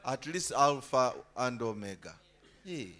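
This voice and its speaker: A man's voice: medium pitch, unhurried. A man hosting a church gathering, rousing the audience to applaud. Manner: lively, rousing announcer. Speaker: host of the gathering.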